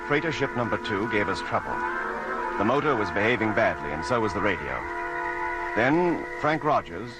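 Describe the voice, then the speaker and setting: An actor playing a ship's doctor who narrates a space voyage log in a measured, sober way for an old radio drama. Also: male voice, lowish voice, natural voice, low-quality recording.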